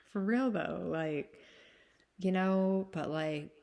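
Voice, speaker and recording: A woman with a smoky, slightly hoarse voice, still getting over a cold, chatting casually to her followers with lots of fillers and frequent laughs. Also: on the low side, extremely slow, darkish tone. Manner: chatty, full of fillers, laughs often, drifts back and forth. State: recovering from a cold, sinus congestion. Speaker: vlogger. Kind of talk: casual rambling chat to followers.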